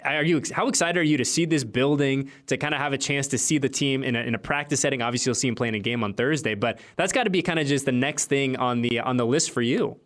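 A man's voice: mid-range voice, fast talker, hardly any pauses, a bit bright. A young American man hosting a sports podcast, chatting casually in informal, slangy language. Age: late twenties to thirties. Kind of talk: casual interview chat.

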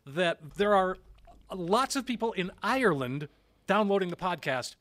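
A man's voice: high-pitched, animated, moderate pace, fairly bright tone. An American man co-hosting a radio show in casual banter, agreeable and easygoing. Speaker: radio co-host.

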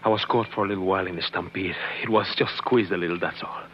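An actor playing a loyal older sidekick, earnest and deferential, in 1950s radio adventure style. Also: male voice, sits low, steady tone, quick pace, natural voice. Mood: devoted, reassuring. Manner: deferential, earnest, speaking in simple broken English. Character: loyal older Mexican sidekick, once his master's companion. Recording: muffled, noisy old radio recording.

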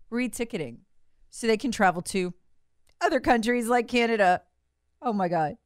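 A woman's voice: a little high, fairly bright tone, no room sound, studio quality. A woman hosting opinionated political commentary, speaking sarcastically and emphatically, repeating phrases for effect and acting out quotes in a conversational way.

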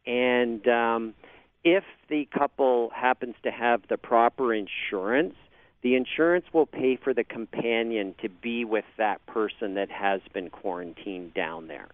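A man with a Canadian accent, a travel agent speaking as a guest by phone, halting with many fillers and restarts. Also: mid-range voice, dry recording. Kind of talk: phone interview on radio. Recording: dry tinny phone line.

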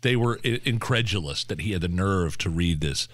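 A man's voice: low-pitched, animated, moderate pace, a bit bright, no room sound. A man co-hosting talk radio, giving opinionated commentary in an emphatic way. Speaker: radio co-host.

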